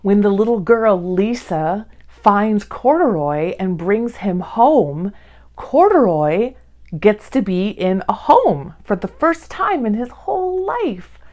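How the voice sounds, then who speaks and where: A woman hosting a read-along, speaking to young children in simple, clearly phrased storytime style. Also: medium pitch.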